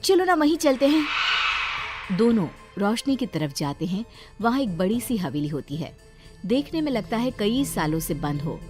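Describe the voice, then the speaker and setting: A woman's voice: medium pitch, very animated, normal speed. Female horror story narrator, mid-range voice, scripted storytelling, prominent music underneath.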